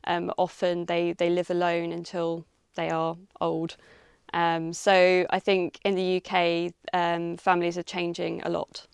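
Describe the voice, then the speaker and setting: A woman giving her opinion in a measured way, with frequent ums. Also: lowish voice, completely flat delivery, creaky, clear, resonant tone, no room sound. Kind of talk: casual spoken opinion.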